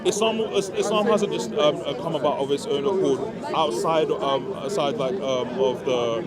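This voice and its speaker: A man whose first language is Urdu, arguing in a street debate and often restarting his sentences. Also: fairly high pitch. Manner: interrupting, halting with many restarts. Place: outdoor public speaking corner. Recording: loud crowd around.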